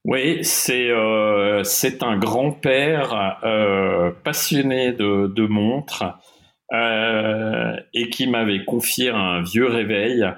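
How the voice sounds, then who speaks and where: Swiss guest, middle-aged man, low voice, hesitant with fillers. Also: extremely slow, a bit bright. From Switzerland. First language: German. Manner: hesitant, many fillers and restarts. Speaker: watch industry guest. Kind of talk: interview conversation.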